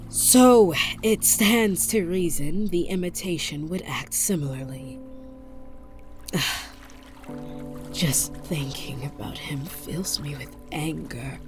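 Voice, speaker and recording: A woman voice acting a haughty, menacing empress who speaks in a measured, taunting way for an ASMR roleplay. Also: sits low, darkish tone. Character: menacing, imperious empress commanding a war fleet.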